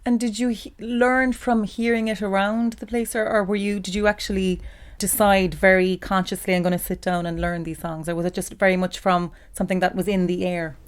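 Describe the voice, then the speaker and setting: A woman conducts an informal interview at an average pitch. Her questions come conversationally. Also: flat delivery, fairly quick, hardly any pauses. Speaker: interviewer, composer.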